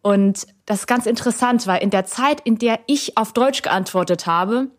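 A woman's voice: a little high, moderate pace. Reflective woman searching for words. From Germany. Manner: reflective, hesitant with many fillers and restarts. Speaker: guest. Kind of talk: conversational interview answer.

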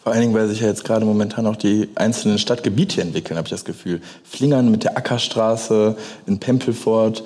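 A man's voice: fairly low pitch, steady tone, fairly quick, few pauses, dark tone. A man chatting casually as co-host of a live panel podcast. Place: open design office event space. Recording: reverberant live event hall.

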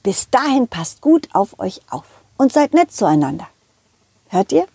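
A woman's voice: mid-range voice, sing-song, unhurried, vocal fry, warm tone, breathy edge, no echo. A woman puppeteer telling a children's story in character, with childlike enthusiasm, speaking straight to the children. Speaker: puppeteer storyteller voicing a dog hero.

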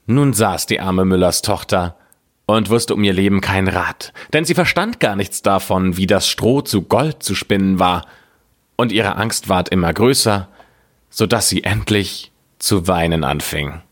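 A man narrating a scripted fairy tale as a storyteller, in a measured, deliberate way. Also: deep voice.

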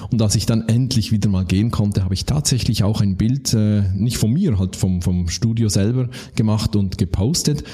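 A man with a Swiss German accent, speaking as a podcast guest with a low, husky voice. His tone is mellow and relaxed, reflective and easy in conversation, and he laughs at himself now and then. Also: moderate pace, hardly any pauses.

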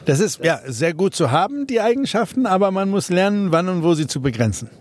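A man's voice: high voice, very animated, a little fast, a little mellow, dry recording. A man from South Africa, an entrepreneur guest in an interview, talking casually with many fillers and restarts.

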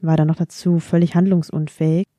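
A woman's voice: low-pitched, level tone, fairly quick, dark tone, no room sound, studio quality. A woman who grew up speaking Vietnamese, talking thoughtfully and candidly about her own feelings in a podcast conversation. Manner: thoughtful, candid, self-ironic. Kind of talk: reflective personal conversation.

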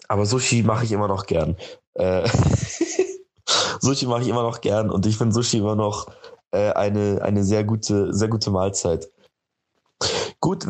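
A young man with a lowish voice tells a relaxed personal story, stopping often to search for words. He is a radio presenter from Munich, chatting in a loose, unscripted way with frequent ums and restarts. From Munich, Germany. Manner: hesitant, many fillers and restarts. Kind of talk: casual personal anecdote.

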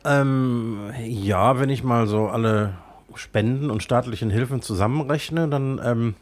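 A man's voice: mid-range voice, unhurried. A man co-hosting a podcast with a stuffy nose from a cold, chatting hesitantly with lots of filler sounds from a cold tent studio. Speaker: podcast co-host. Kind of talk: casual chat.

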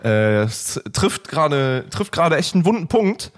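A man in his late twenties co-hosting a casual podcast chat, joking, laughing often and filling pauses with hesitations. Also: fairly high pitch, sing-song. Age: late 20s. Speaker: podcast co-host.

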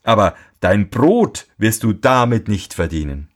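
Stern sighing old father, read by a man, slow and lively in pitch. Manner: scolding, sighing.

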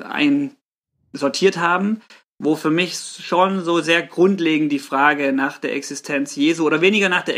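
A man in his late thirties from southern Germany with a fairly high voice. He talks casually and informally as a guest on a podcast. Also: a bit bright. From Swabia, southern Germany. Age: late 30s. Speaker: podcast guest, youth church worker. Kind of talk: casual chat.

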